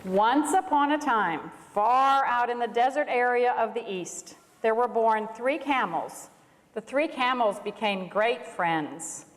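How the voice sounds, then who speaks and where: A woman's voice: a little high, lively intonation, takes it slowly. A woman reading a children's storybook aloud to a congregation in a church. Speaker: reader at a church. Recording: echoey hall with long reverb.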